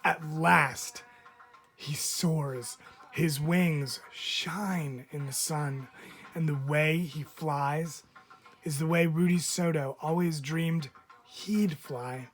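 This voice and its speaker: A man reading a picture book aloud as a teacher would, expressively, with deliberate dramatic phrasing and frequent pauses. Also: a little high, steady tone. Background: background music.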